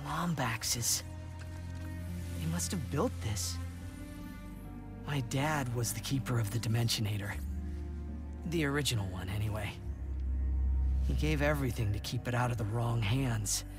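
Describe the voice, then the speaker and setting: A man's voice: medium pitch, unhurried, long pauses, close to an everyday voice. A man playing a young, friendly, earnest cat-like alien hero in a video game. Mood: wistful. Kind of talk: video game cutscene acting. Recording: loud music behind.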